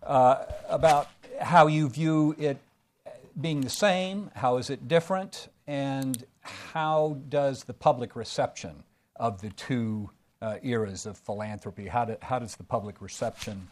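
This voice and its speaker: A man's voice: mid-range voice, darkish tone. Hesitant male panel moderator. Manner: hesitant, many "uh" fillers, halting questions.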